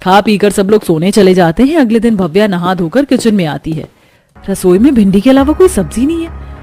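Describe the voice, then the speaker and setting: A woman's voice: medium pitch, lively intonation, a little fast, mellow, dry recording, faint music behind. A woman storyteller reading scripted narration of a moral tale.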